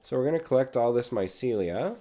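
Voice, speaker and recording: A young man with a cold and a raspy throat, casually talking through a video game as he plays. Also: mid-range voice, a little fast. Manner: relaxed, chatty, talks while playing. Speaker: gaming channel host. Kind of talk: casual gameplay commentary.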